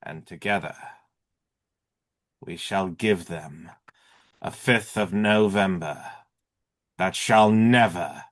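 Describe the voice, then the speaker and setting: A man performing a theatrical monologue as an eloquent, defiant masked vigilante with measured, formal oratory. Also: low voice. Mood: solemn, rousing.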